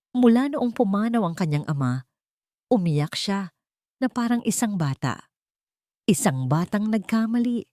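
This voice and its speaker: A woman's voice: medium pitch, darkish tone, no room sound, clean studio sound. A woman storyteller reading a scripted, dramatic audio story.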